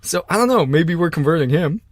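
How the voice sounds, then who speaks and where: A man's voice: fairly high pitch, animated, brisk, dry recording. A man co-hosting a casual podcast from a small room at home, tired and telling jokey, rambling stories. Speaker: podcast co-host. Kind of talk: casual banter.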